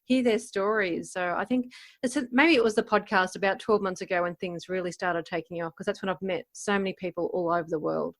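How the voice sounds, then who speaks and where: A woman's voice: medium pitch, steady tone, brisk. Candid middle-aged woman giving interview answers. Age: around 50. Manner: candid, informal, often voices own thoughts as quotes. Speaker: life coach and podcaster being interviewed.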